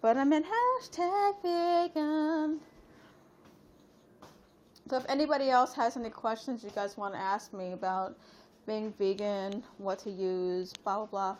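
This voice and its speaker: A woman's voice: medium pitch, steady tone, normal speed, a little hiss or hum. A woman in her late thirties chatting casually on a live stream, rambling and talking straight to her viewers with lots of fillers. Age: 30s. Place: at home.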